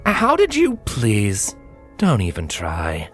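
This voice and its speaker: A man's voice: lowish voice, wildly swooping pitch, slow, a bit bright, faint music behind, exaggerated voice. A man voicing a young male unicorn, nervous but defiant, with an anxious, earnest manner in a fantasy story reading.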